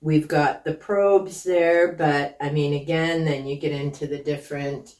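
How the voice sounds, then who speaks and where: Woman, native Hungarian speaker, slow rambling skeptical book talk, echoey room. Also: deep voice, darkish tone, distant mic. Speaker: book vlogger. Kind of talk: casual book review talk.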